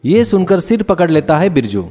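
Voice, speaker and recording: A man's voice: high-pitched, very animated, normal speed, dark tone, faint music behind. A man narrating a scripted moral tale as a storyteller.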